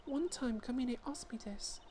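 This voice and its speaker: A woman's voice: on the high side, normal speed, mellow, a little hiss or hum, close to an everyday voice. A woman voicing an anxious, confused young woman in a game fan dub, speaking hesitantly and earnestly. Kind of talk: fan-dubbed game dialogue.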